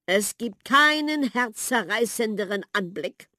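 A woman doing a high, pleading little mouse. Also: animated, unhurried, sharp tone, no room sound, studio quality. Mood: lamenting. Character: excited anxious little female house mouse.

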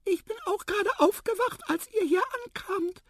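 A performer voicing a tiny, cheeky, curious talking mouse with a piping squeak, lively and chirpy, in a children's story. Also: moderate pace, no echo, studio quality.

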